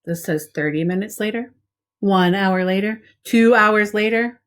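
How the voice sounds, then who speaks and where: Female preschool-teacher type, mid-pitched, for expressive picture-book read-aloud addressed straight to little kids. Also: measured pace. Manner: expressive storytelling, addressing young listeners directly. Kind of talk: children's read-aloud with casual asides.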